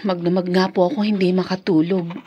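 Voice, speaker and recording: A woman's voice: fairly low pitch, level tone, brisk, slightly rough, muffled recording. An actress playing an anxious, doting young mother in a radio drama, with a tender, fretful manner. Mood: apologetic, worried. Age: young adult.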